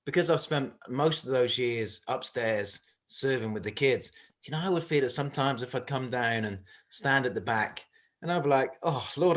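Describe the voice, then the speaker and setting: Earnest, conversational man on a video call. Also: medium pitch. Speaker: church group leader. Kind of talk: informal talk to a group on a video call.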